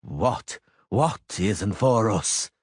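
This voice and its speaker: A man voicing a terse grown male monster hunter who speaks low and curtly. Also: extremely slow, slightly rough, no echo, clean studio sound, heavily acted. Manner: low, curt and flat.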